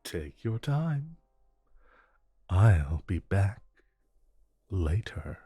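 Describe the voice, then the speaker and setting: A man playing a suave, flirtatious supervillain in a romantic audio roleplay, with a smooth, teasing drawl and deliberate pauses. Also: deep voice, big pitch swings, warm tone, no room sound, soft background music, exaggerated voice. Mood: flirtatious, relaxed. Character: suave, flirtatious male supervillain courting his tailor.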